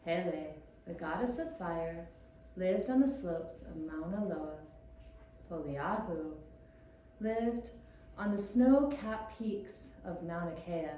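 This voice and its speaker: A woman telling a scripted story for young children in a gentle, deliberate manner. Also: fairly low pitch, animated. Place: echoey room, puppet show performance. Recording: roomy echoing sound with faint hiss.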